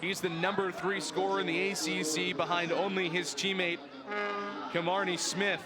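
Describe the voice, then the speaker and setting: Male sports announcer, early twenties, high-pitched, polished, emphatic, broadcast play-by-play. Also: flat delivery, moderate pace, very noisy. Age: early 20s. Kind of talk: live sports play-by-play and show openings.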